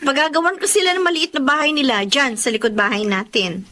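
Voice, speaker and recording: An actress playing a soft-hearted, pleading young Filipino daughter in a drama. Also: female voice, high-pitched, brisk. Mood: pleading, defensive. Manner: whiny, pleading, protesting.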